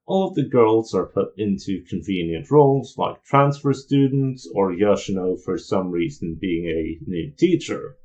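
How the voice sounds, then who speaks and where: A man delivers review commentary in a low voice that moves up and down in a lively way. He hesitates often, filling pauses as he goes. The recording is dull and lo-fi. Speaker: video game reviewer.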